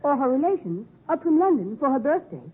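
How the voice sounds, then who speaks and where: A cocky, well-bred young boy in a 1950s radio play. The voice is high and very animated, brisk with polite bravado. It sounds muffled, like an old broadcast. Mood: cocky, curious. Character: cocky, chirpy, pale young gentleman boy. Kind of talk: 1950s radio drama acting. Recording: muffled narrow-band 1950s radio recording.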